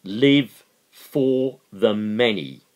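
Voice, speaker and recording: A middle-aged man giving a dramatic reading and impassioned political commentary, emphatic and deliberate, with dramatic pauses. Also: fairly low pitch, muffled recording. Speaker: amateur reader and political commentator. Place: small living room at home, indoors.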